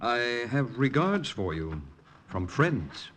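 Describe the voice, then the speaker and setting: A man's voice: mid-range voice, very animated, slow, fairly bright tone. An actor playing a cool male American secret agent in a 1940s radio drama, on a noisy old recording. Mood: cool, pointed. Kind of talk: 1940s radio acting and first-person narration.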